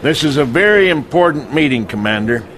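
A hearty older American army commander for a game cutscene. His voice is forceful and pitched a little high, with gruff, homespun emphasis. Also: expressive, brisk. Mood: urgent briefing. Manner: folksy, emphatic. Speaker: military general.

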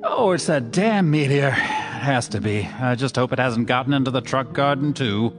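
An actor playing a stern, suspicious farmer father, gruff and curt, in a radio drama. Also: mid-range voice, expressive, moderate pace, over music, close to an everyday voice. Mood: worried. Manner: gruff, curt, sudden angry bursts.

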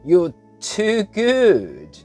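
A man reading an audiobook, voicing a crude, brash young boy who talks loudly and taunts. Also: high-pitched, huge pitch swings, extremely slow, soft background music, voice pushed up, full character voice. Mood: taunting. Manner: loud, taunting, gleeful.

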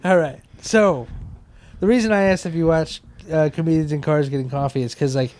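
A man's voice: fairly high pitch, fairly quick, a little mellow. An American man in his thirties bantering casually as a comedy podcast co-host, speaking into a handheld mic. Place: small wood-panelled podcast room, indoors. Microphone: handheld microphone held close.

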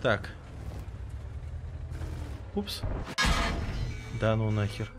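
A man streaming a game live, chatting with viewers in a rambling way and reacting loudly to the action. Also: lowish voice, drawn-out words, over loud music. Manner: excitable, rambling, swears, jumps between chatting and reacting to the game. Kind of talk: live gameplay commentary and chat.

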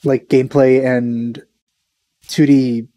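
Mellow-voiced young man, dry close sound. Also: medium pitch, flat delivery, slow, no room sound. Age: young adult. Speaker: reaction video host. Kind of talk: casual reaction commentary.

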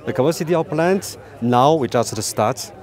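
A man in his thirties with a Chinese accent answering interview questions outdoors, haltingly and repeating phrases as he goes. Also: mid-range voice, animated, a crowd in the background. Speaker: company representative being interviewed. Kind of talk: unscripted press interview. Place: outdoors beside a parked truck.

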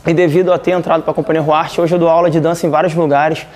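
A man's voice: fairly high pitch, little pitch movement, fast talker, a little mellow. A man in his late twenties with a Brazilian accent, a dance teacher speaking enthusiastically in an interview. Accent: Brazilian Portuguese. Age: 20s. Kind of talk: documentary interview. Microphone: lapel.

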